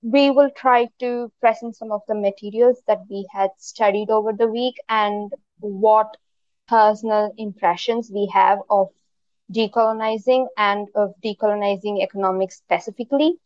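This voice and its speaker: A young woman from India opening a student podcast in a measured, unhurried way. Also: medium pitch, steady tone, darkish tone, no echo, muffled recording. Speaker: podcast host. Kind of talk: podcast introduction.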